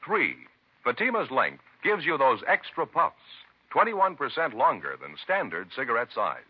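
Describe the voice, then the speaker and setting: A man announcing in a scripted 1950s radio style, deliberate and emphatic. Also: medium pitch, huge pitch swings, breathy edge, no room sound. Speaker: radio announcer and cigarette pitchman. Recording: muffled old radio recording. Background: music.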